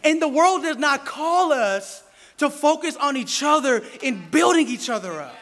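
A man in his thirties preaches from a pulpit mic with an animated, playful delivery, calling out to the congregation. His voice sits very high and rings bright and forceful. The sound carries a long church-hall reverb with a faint congregation murmuring behind him. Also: normal speed. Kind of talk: sermon. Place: church sanctuary, indoors. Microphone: stand mic at a pulpit.

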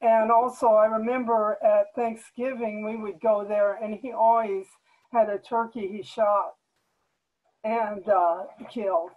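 Older woman in her sixties, panelist on a webcam, slow and hesitant, thin phone-like sound. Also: medium pitch, steady tone. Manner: slow, halting, many "uh"s. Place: home living room, indoors. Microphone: video call webcam. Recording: tinny phone-like call audio.

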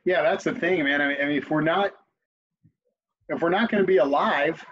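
A man's voice: a little high, brisk, a bit bright, slightly rough. A man in his forties, a company CEO and guest, talking reflectively on a video call and often repeating words as he thinks. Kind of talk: conversational interview. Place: home office on a video call. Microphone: video call webcam. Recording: dull, lo-fi video call audio.

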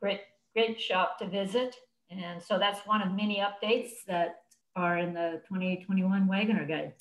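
A woman's voice: medium pitch, fairly even intonation, normal speed, slight background noise. A North American woman in her sixties giving informational updates on a panel over a video call. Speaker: cruising guide editor giving updates. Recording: echoey room on video call.

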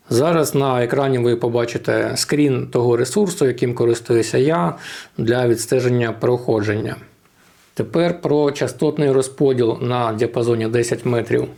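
A man with a gravelly, creaky edge to an average-pitched voice. He explains things informally and step by step, like a hobbyist vlogger walking through a tutorial. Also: normal speed, darkish tone. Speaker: amateur radio vlogger.

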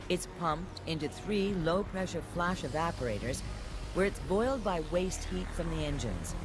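A man doing measured, scripted narration for a documentary voice-over. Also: fairly high pitch. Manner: measured, explanatory. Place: studio voice-over. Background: music.

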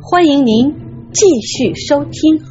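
A woman's voice: high voice, animated, takes it slowly, warm tone. A woman reading a scripted announcement for an audiobook programme. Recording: dull lo-fi audio. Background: plucked guitar music.

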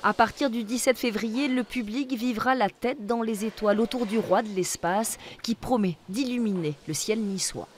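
A woman reporter giving a scripted news voice-over with lively, punchy phrasing. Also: on the high side, normal speed, few pauses, a little hiss or hum.

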